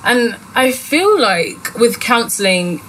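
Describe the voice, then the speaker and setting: A woman's voice: a little high, very animated, takes it slowly, a bit bright, a little hiss or hum, rough recording. A young woman hosting a casual podcast chat, asking long rambling questions and correcting herself as she goes. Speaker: podcast host.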